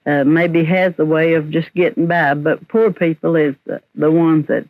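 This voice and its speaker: A man's voice: fairly high pitch, steady tone, normal speed, no echo. Man, archive clip, emphatic, thin lo-fi sound. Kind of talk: archival interview. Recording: tinny old phone-like archive recording.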